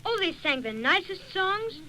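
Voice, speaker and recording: A woman's voice: very high-pitched, big pitch swings, moderate pace, crowd noise. A woman voicing a sweet, frail, pious little Victorian boy in a radio drama. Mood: innocent.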